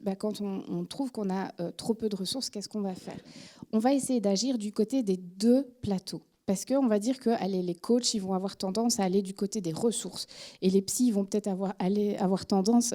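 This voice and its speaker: Woman in her forties from France, French accent with a Belgian tinge, warm mellow tone, chatty psychologist addressing a room. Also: mid-range voice. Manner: chatty and animated, with many filler words and restarts. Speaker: psychologist giving a public talk on parenting. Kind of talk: informal lecture to an audience.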